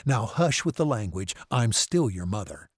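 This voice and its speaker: A man voicing a middle-aged mother who is wry and gently chiding, in an audiobook. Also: lowish voice, sing-song, normal speed, breathy edge, no echo, clean studio sound. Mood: amused, chiding. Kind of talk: audiobook character acting.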